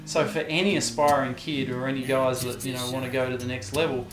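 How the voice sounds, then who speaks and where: A man's voice: mid-range voice, little pitch movement, fairly quick. Aussie guy chatting in an interview, laid-back wording, echoey room, guitar playing behind him. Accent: Australian. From Tasmania, Australia. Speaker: professional vert skater, interviewee. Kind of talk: casual reflective interview talk. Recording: roomy echo, guitar music behind. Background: guitar music.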